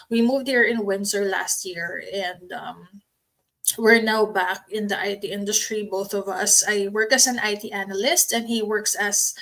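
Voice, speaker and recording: Filipina woman, unhurried, chatty. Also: medium pitch, a bit bright, poor audio. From Philippines. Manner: unhurried, many ums and restarts, laughs now and then. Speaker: online business owner. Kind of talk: casual promotional talk to camera.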